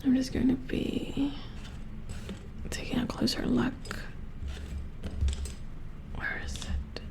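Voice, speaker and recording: A calm, methodical robot engineer played by a woman whispers close to the mic in an ASMR roleplay. Her voice is fairly high with a slight creak, and she murmurs slowly, as if absorbed in delicate work. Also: exaggerated voice. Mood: calm, focused. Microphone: close ASMR microphone.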